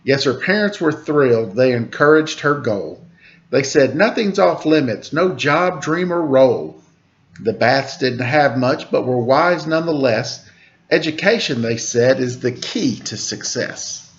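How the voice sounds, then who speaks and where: Male storyteller reading a rhyming kids' book, sing-song and animated on quotes; mid-pitched voice, slightly muffled recording. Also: measured pace. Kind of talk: rhyming picture-book read-aloud.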